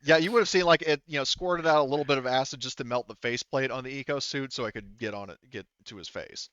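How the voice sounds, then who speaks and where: A man's voice: medium pitch, fast, a bit bright, no echo. A man improvising tabletop game narration as game master, speaking casually in rambling, looping phrases over a muffled connection. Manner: rambling, repeats "like" and "you know".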